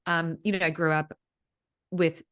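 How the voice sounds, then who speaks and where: A woman's voice: deep voice, little pitch movement, measured pace, a bit bright, clear, resonant tone. A woman in her thirties whose first language is Vietnamese, a guest in a casual interview, talking chattily with many fillers. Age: 30s. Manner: chatty, frequent fillers like um and you know. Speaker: guest, executive coach.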